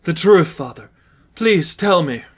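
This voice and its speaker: A man's voice: fairly high pitch, lively intonation, measured pace, husky, pitched above the performer's own voice. A man acts out a distressed nun in an audiobook story. The voice is lifted well above a normal male register, pleading and anguished, as she doubts her religious life.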